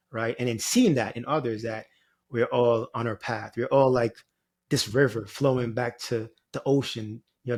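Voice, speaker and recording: A man from Jamaica, talking reflectively as an interview guest in a meandering, informal way peppered with "you know" and "like". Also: mid-range voice. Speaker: spiritual guide, podcast guest.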